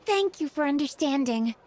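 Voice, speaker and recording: A woman's voice: high voice, a little mellow. A woman playing a courteous, apologetic female restaurant worker in a video game, deferential and tactful.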